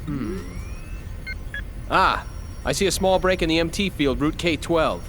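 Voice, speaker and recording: A man's voice: a little high, lively intonation, measured pace, a bit bright, a little hiss or hum. An actor playing an obliging young male lab technician in a video game, talking in a businesslike, technical way. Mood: helpful, focused.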